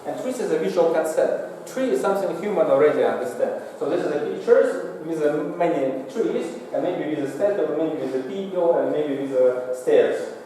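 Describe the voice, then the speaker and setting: A man giving a technical lecture with slides in a lecture room, speaking halting, non-native English. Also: a little high, lively intonation, dark tone. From Singapore. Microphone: far from the mouth, room pickup. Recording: echoey hall, distant mic, faint noise.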